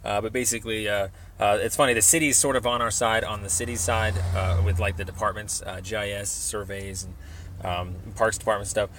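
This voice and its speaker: A man with an American accent and a fairly low voice talks casually on a call. He rambles hesitantly, padding his sentences with ums and circling toward his point. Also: flat delivery, a bit bright, slight background noise. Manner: hesitant, rambling, halting delivery thick with ums. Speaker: member of the public calling a city department. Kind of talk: casual phone conversation.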